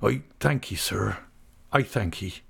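Man playing a shattered, halting old rustic fisherman. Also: expressive, vocal fry, slightly rough, heavily acted. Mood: numb. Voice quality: low, shivering. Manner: slow, halting, repeating words. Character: shattered older uncle, humble rustic fisherman, stunned with grief.

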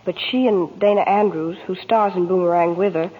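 A young woman from New York reads a rehearsed vintage radio testimonial. Her voice is genteel and husky, heard with long hall reverb on a dull recording. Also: on the low side, steady tone, normal speed. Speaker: actress guest in sponsor ad. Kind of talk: scripted 1940s radio testimonial.

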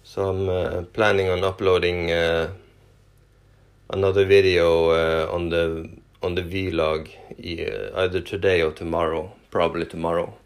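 Man, Norwegian accent, non-native English, very low voice, slow pace, hesitant, many fillers. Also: flat delivery, clean tone. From Norway. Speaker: podcast host. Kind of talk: casual solo podcast.

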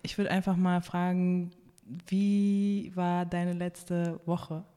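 A woman's voice: fairly low pitch, little pitch movement, slow, dark tone, smooth, long reverb tail. A woman hosting a podcast, introducing the episode and chatting casually with her guest, nudging her on with short affirmations. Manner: friendly, encouraging, many affirming murmurs. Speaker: podcast host.

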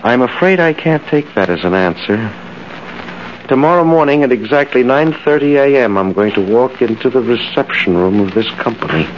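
A man's voice: medium pitch, expressive, normal speed, natural voice. Actor as smug, swooping male blackmailer. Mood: sly, menacing. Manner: suave, mock-friendly, insinuating. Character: smug, silky male blackmailer posing as business partner. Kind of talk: 1940s radio drama acting. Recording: muffled, hissy old radio recording.

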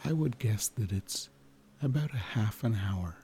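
A man narrating a guided sleep meditation in a soothing manner, leaving long pauses. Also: mid-range voice, lively intonation, warm tone, slight background noise.

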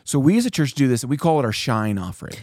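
A man hosting a casual church podcast, talking with animated, preachy emphasis and reading scripture aloud. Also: medium pitch, very fast, no echo, studio quality. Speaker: pastor and podcast host.

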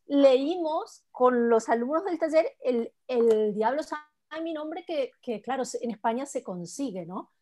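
A woman's voice: sits high, lively intonation, measured pace, darkish tone. A woman with an Argentine accent hosting a book club over a video call, chatty and friendly, often restarting her sentences. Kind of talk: casual chat.

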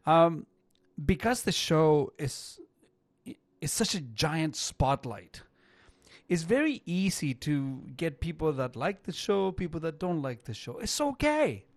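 Animated higher-voiced male radio host. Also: slow, no echo. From not Canada. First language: not English. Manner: animated, repeats words when starting sentences. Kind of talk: casual radio talk.

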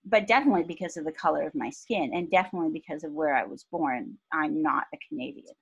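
A woman in her fifties, from Pakistan, talking thoughtfully as a podcast guest with soft laughs. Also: deep voice, quick pace, gravelly, husky, lo-fi sound. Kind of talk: reflective interview talk.

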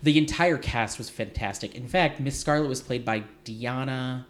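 A man hosting a podcast, telling stories in an animated, gossipy way with a slight lisp now and then. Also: medium pitch, normal speed. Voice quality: light lisp at times. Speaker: podcast host. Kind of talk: casual chat.

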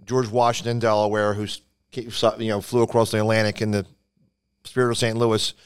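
A man's voice: fairly low pitch, flat delivery, moderate pace, clear, resonant tone. A man with a Philadelphia accent co-hosting a podcast, rambling through jokey stories and losing his train of thought. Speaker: podcast co-host. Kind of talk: casual chat.

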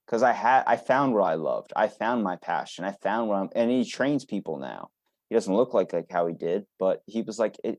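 A man's voice: low-pitched, darkish tone, no room sound. A man hosting a casual podcast, talking in a blunt, informal, anecdotal way. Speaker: podcast host.

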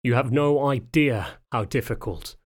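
A man's voice: medium pitch, a little slow, close to an everyday voice. A man voicing a big, lean, older wolfhound knight in an audiobook, formal and restrained, with strained emotion underneath. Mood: biting, strained.

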